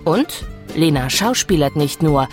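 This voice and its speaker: Low-voiced woman reading an intro. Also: expressive, a little slow. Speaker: announcer. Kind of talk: scripted voice-over intro. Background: music.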